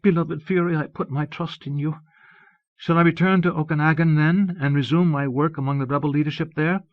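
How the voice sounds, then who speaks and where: A man voicing an eager, murderous minion in an audiobook, fervent and servilely devoted to a dark master. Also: on the high side, fairly even intonation, brisk, no echo, pitched above the performer's own voice, lightly acted. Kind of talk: audiobook character voice. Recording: muffled old cassette audiobook.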